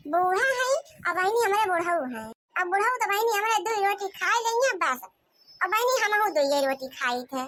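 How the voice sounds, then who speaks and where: A woman's voice: extremely high pitch, bright, forceful tone, dry recording, heavily acted. Woman playing a piercing, mocking Awadhi daughter-in-law. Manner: gossipy, mocking, exaggerated complaining. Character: sly, aggrieved young village daughter-in-law. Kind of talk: comic cartoon monologue.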